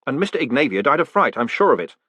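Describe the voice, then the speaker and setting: A man voicing a panicked young male con man who talks in frantic, high-strung bursts. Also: sing-song, a little fast, a bit bright, no echo. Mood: rattled.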